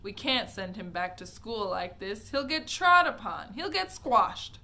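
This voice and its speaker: A woman voicing a blunt, fed-up father who shouts his lines. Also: medium pitch, very animated, unhurried, exaggerated voice. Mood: exasperated.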